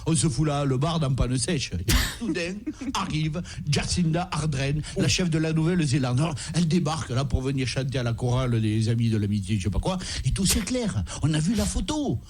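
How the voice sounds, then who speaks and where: A man with a high voice, rambling on in a mock-pompous tone as a comic columnist. He speaks from a radio studio with a faint hiss behind him. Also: expressive, fairly quick, few pauses, darkish tone. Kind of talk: comedy radio chat.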